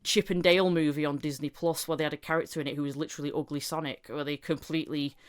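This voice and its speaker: A woman with a lowish voice hosting a casual podcast chat, animated and quick to laugh as she talks. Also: clean tone. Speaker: podcast host and interviewer.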